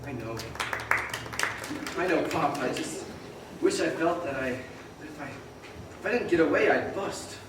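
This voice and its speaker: A man's voice: on the high side, lively intonation, measured pace, long pauses. An actor playing an eager, restless young man in an old-time radio drama on stage, earnest, boyish and full of exclamations. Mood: torn, earnest. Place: performance hall, far from the microphone. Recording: very echoey, distant, noisy stage recording.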